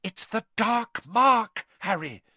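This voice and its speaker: Man putting on a frightened teenage witch, high-pitched. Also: lively intonation, takes it slowly, pushed and bright, no echo, full character voice. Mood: terrified, urgent.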